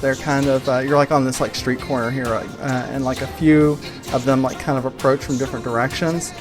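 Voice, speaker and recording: Casual, hesitant woman narrating, low-pitched voice. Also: steady tone, a little fast. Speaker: game master. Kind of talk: improvised game narration. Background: faint music.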